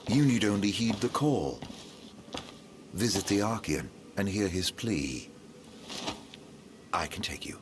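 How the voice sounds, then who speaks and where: A sly, theatrical game character who talks in riddles. His low voice lingers slowly on the words and swoops up and down with mock courtesy. Faint noise sits behind him. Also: lively intonation. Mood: sly, coaxing. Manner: drawn-out, lilting, mock-courteous delivery. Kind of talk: English video game voice acting.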